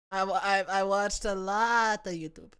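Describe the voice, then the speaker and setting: A woman's voice: mid-range voice, fairly even intonation, unhurried, a bit bright, clear, resonant tone. A young adult woman with a Southern American accent mixed with internet influences, chatting casually as a livestreamer in a playful, giggly, self-mocking way from her home setup. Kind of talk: casual stream chat.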